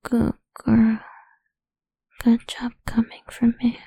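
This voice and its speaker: A woman's voice: a little high, monotone, slow, creaky, mellow, breathy edge, dry recording. A woman performing an intimate audio roleplay, mostly whispering close to the microphone in a dominant, teasing manner.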